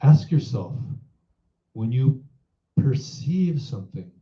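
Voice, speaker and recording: A man leading a guided meditation as a teacher, in a measured, instructive manner, posing reflective questions. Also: medium pitch, sing-song, warm tone. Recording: roomy echo, dull muffled sound.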